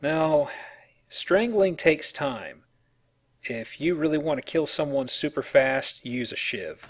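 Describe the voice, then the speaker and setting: A man's voice: fairly high pitch, expressive, takes it slowly. A man giving casual, explanatory gameplay commentary as he plays a video game. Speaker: let's player playing and explaining the game.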